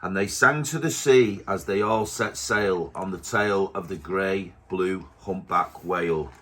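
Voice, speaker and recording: A man reading a rhyming picture book aloud to his baby at home, slowly and gently. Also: sits low, breathy edge. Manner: slow, gentle storybook reading.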